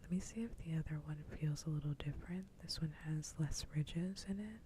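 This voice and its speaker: A woman whispering softly and breathily into a close microphone, chatting casually in an ASMR style. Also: sits low, brisk, a little hiss or hum. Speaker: streamer.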